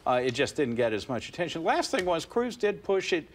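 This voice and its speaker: A man in his fifties, a poll director and studio guest, explaining politics in a measured way, with fillers and restarts, on a TV studio couch and a lapel mic. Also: a little high, big pitch swings, a little hiss or hum. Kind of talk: analytical studio interview. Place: TV studio set, seated on a couch.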